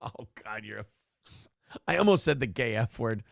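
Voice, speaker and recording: A man's voice: mid-range voice, expressive, normal speed, vocal fry, no room sound, clean studio sound. A man hosting a casual morning talk show, brash and profane, reading viewer donations aloud and riffing on politics.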